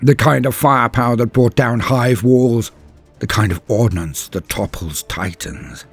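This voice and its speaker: A man playing a grave, embittered space-marine veteran, solemnly narrating a dramatic war story in a husky voice with deliberate, heavy pauses. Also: on the low side. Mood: haunted, grim. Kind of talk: dramatic scripted first-person story narration.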